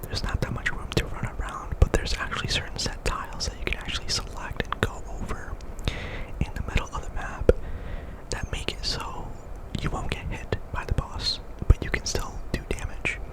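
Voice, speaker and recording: A man giving ASMR game commentary in a breathy, unvoiced whisper, often softly repeating words and phrases. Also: moderate pace, low-quality recording. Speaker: gaming video host.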